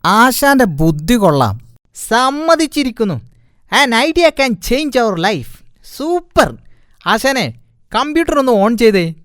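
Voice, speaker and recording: A man voicing a sly, fawning animal sidekick in a cartoon, with a wheedling, flattering manner. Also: very high voice, slow, long pauses, full character voice. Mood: admiring. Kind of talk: cartoon voice acting.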